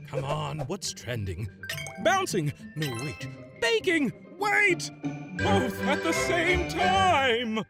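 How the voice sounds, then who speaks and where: Bossy, swooping-voiced vlog guru, cartoon, music bed. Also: female voice, high-pitched, wildly swooping pitch, slow pace, exaggerated voice. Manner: bossy, dramatic. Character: pushy female self-styled vlogging expert. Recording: music underneath.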